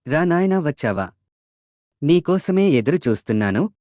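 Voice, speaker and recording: A man's voice: on the high side, very animated, moderate pace, a little mellow, dry recording, clean studio sound, pitched above the performer's own voice. A man voicing a crafty, feisty old village woman in a folk tale, with a chatty, wheedling, folksy manner. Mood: coaxing.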